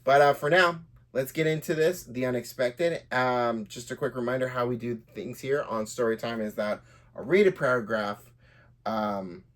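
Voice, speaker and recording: A man's voice: mid-range voice, moderate pace, a bit bright. A man hosting a storytelling podcast as a writer, moving between casual chat and reading from their own fiction.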